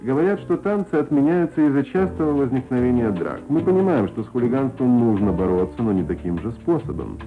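A man's voice: mid-range voice, big pitch swings, a little fast, few pauses. A man announcing and reading scripted text as a radio announcer. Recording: narrow-band old radio, music behind.

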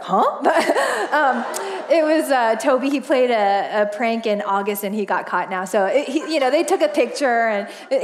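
Woman pastor, 40s, high bright register, animated storyteller with many fillers, headset mic in a church auditorium. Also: background noise. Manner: chatty and animated, laughs often, lots of fillers. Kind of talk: casual storytelling sermon. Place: church stage, large indoor auditorium.

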